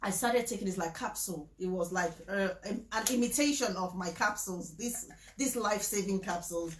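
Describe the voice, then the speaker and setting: A woman, talking casually to the camera, mixing a sales pitch with preaching, emphatic and repetitive, with frequent laughter. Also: fairly quick, slight background noise. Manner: chatty, emphatic, repeats herself, laughs often. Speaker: vlogger promoting supplements. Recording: echoey room.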